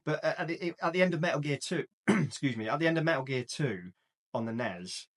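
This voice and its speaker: A man co-hosting a casual film podcast, talking in quick bursts with restarts and laughs. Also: on the high side. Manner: chatty, frequent restarts and laughs. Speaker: podcast co-host. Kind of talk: casual chat.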